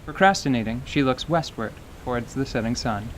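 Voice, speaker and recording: A man narrating a scripted radio theater story in a measured, descriptive way. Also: medium pitch, slight background noise. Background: faint music.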